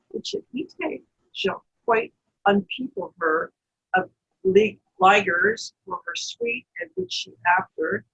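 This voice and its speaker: A woman playing a sly, commanding wicked queen in a Shakespeare read-through, with theatrical, halting delivery over a video call. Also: fairly low pitch, gravelly, fairly bright tone, slightly rough, heavily acted. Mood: malicious. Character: scheming wicked queen, middle-aged stepmother, commanding and sly. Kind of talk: Shakespeare play read aloud. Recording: muffled video-call audio.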